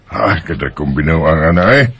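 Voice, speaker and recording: A man's voice: very deep voice, expressive, normal speed, fairly bright tone, a little hiss or hum, exaggerated voice. A man acting a nosy Bisaya drinking buddy who prods with skeptical questions and interjections in a radio drama. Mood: teasing. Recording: dry, dull lo-fi recording.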